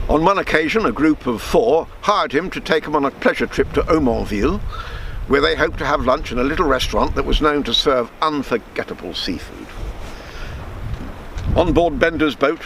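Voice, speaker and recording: A man reading his own written story aloud in a measured storytelling manner, with small stumbles and self-corrections. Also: mid-range voice, gravelly, fairly bright tone, slight background noise, poor audio.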